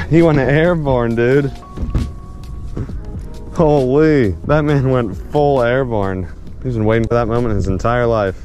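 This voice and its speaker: A young man giving a casual, excited running commentary while fishing from a kayak, laughing often and calling his viewers dude. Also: mid-range voice, slow, long pauses, soft background music. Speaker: fishing vlogger. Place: outdoors on a kayak on a lake.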